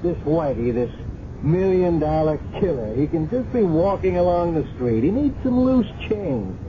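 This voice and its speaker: A man's voice: very animated, normal speed. An American actor playing a skeptical, hard-bitten policeman in a 1970s radio drama, arguing bluntly with a colleague. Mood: grim, incredulous. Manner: blunt, argumentative. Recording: muffled, rumbly noisy 1970s radio recording.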